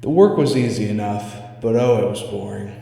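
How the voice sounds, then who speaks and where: A man's voice: lowish voice, moderate pace, vocal fry, dark tone. Male horror story narrator in cavernous echo. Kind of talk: scripted spooky story reading. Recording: echoey reverberant hall sound.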